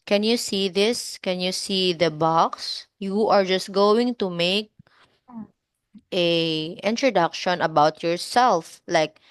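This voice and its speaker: A woman's voice: fairly low pitch, slow pace, clear, resonant tone, no room sound. A woman in her twenties teaching a one-on-one online English lesson, explaining step by step and encouraging her student over a video call. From Philippines. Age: 20s.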